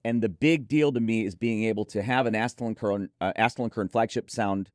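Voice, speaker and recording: A man with a fairly low voice gives his take on audio gear as a reviewer and co-host. He sounds enthusiastic and opinionated in casual conversation, in a dry recording with very little room sound. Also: fairly quick, a little mellow, dry recording.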